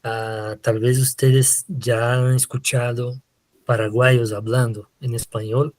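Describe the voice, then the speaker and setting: A Brazilian man with a Portuguese accent lectures over a video call, speaking very slowly. He pauses often, fills gaps and checks in with tag questions. His voice sounds dry and close, with no room around it. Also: medium pitch, flat delivery, a little mellow, dry recording. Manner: slow and hesitant, many fillers and tag questions. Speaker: literature lecturer. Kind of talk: online lecture. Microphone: computer mic on a video call.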